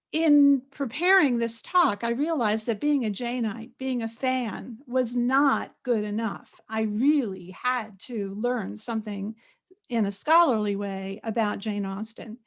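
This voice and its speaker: An older woman with a soft voice gives an informal online lecture in a conversational, hesitant way, pausing often. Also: a little high. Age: older, around 70. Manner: conversational, hesitant, with frequent pauses. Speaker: guest lecturer, art historian and curator. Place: home office, on a video call. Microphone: added external microphone on a computer.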